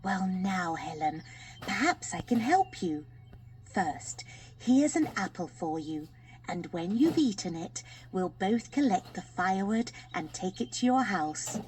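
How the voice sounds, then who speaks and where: Woman as a gentle little old fairy lady. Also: medium pitch, expressive, a little slow, slight background noise, lightly acted, poor audio. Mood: kind, gentle. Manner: gentle, soothing. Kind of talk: children's fairy tale audiobook.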